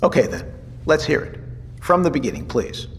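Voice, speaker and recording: A formal captain, echoing hall. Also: male voice, fairly high pitch, sing-song. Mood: authoritative, calm. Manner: formal, measured, authoritative. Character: exacting male navy captain, fatherly, dryly matter-of-fact. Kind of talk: naturalistic audio drama dialogue. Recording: long hall reverb, faint noise.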